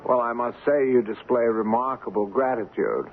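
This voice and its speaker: An actor playing a haughty, callous shipping company boss with a formal, condescending manner in an old-time radio drama. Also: male voice, medium pitch, normal speed, lightly acted. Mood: stiff, impatient. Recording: lo-fi, noisy radio drama recording.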